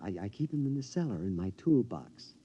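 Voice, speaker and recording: An actor playing a henpecked husband with a gleeful secret scheme in an old radio drama, excitable, stammering and conspiratorial. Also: male voice, on the low side, a little fast, breathy edge. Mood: conspiratorial, hushed. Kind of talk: mid-century radio drama acting. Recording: dull, noisy vintage radio recording.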